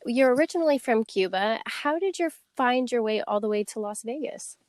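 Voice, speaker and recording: A woman hosting a podcast, introducing her guest and reacting with quick interjections. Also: on the high side, animated, darkish tone, dry recording, studio quality. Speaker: podcast host.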